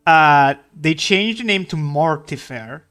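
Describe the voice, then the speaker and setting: Man, streamer, casual rambling chat, slightly high voice, bright tone. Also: expressive, takes it slowly, clear, resonant tone, soft background music. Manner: chatty, rambling, swears. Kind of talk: casual chat while drawing.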